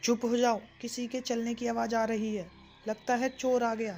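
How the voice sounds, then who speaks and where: Woman as high-voiced brave young cartoon boy. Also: flat delivery, normal speed, darkish tone, natural voice. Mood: reassuring. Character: clever, brave young village boy leading his friends. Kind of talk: children's cartoon story voice acting.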